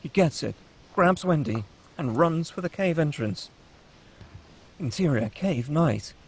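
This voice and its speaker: A man's voice: fairly high pitch, expressive, unhurried, slightly rough. A man reading screenplay stage directions aloud as the narrator at a table read.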